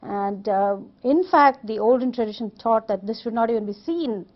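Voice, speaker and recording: A woman's voice: fairly high pitch, moderate pace. A woman explaining things articulately in an interview. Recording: slightly muffled interview audio.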